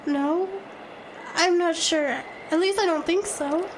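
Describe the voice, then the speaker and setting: An exhausted, anxious woman, speaks extremely high over faint noise. Her delivery is plaintive and halting, full of self-doubt, as in a radio play. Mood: weary, doubtful. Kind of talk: audio drama acting.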